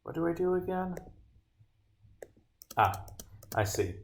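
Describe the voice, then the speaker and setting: A man's voice: a little high, sing-song, slow pace, a little hiss or hum. A man streaming as he works on his game, talking casually to himself with sweary, grumbling asides. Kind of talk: casual livestream muttering while reading and bugfixing script aloud. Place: indoors at a computer, streaming.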